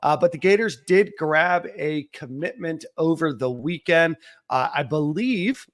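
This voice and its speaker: A man's voice: on the high side, lively intonation, unhurried, fairly bright tone. A man hosting a sports podcast, talking casually with many uhs and some self-mockery. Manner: filler-heavy, uh-laden, self-mocking. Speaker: podcast host.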